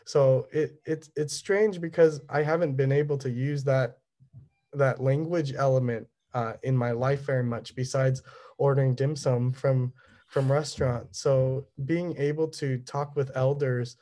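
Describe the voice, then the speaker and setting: A young man, an artist, presenting his work over slides, speaking in a halting way full of ums and restarts; Cantonese was his first language. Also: mid-range voice, fairly even intonation, dark tone. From Southern California. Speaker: resident artist.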